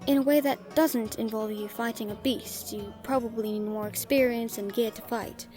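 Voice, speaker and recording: A woman playing a friendly female merchant in a fantasy audio drama, gently teasing, caring and encouraging as she talks to the listener. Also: on the high side, fairly even intonation, moderate pace, soft background music, natural voice. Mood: caring, earnest.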